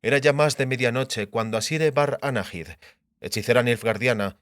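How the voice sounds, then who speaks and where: A man narrates a fantasy audiobook from a script. His voice is mid-pitched and the recording is very dry, with no room around it. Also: moderate pace, fairly bright tone, dry recording.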